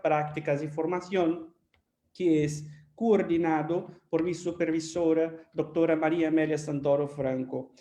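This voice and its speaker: A man's voice: a little high, mellow. Brazilian man speaking careful Spanish, echoey. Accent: Brazilian Portuguese. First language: Portuguese. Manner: careful, slightly halting non-native Spanish. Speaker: panel guest.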